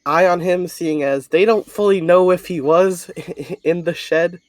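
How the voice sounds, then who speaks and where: A man's voice: high voice, unhurried, no room sound. A man playing a tabletop roleplaying game, talking casually about the story.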